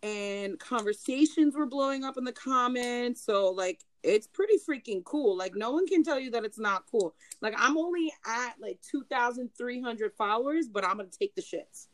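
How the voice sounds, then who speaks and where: A woman guest on a chat podcast, bubbly and excitable, telling stories casually. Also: a little high, moderate pace, hardly any pauses, dry recording.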